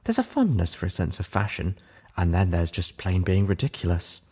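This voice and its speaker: A man's voice: sits low, huge pitch swings, normal speed, warm tone, breathy edge, full character voice. A man acts out a prim lady pony medic in a storybook reading. She is bossy and sardonic, with refined, precise, scolding speech.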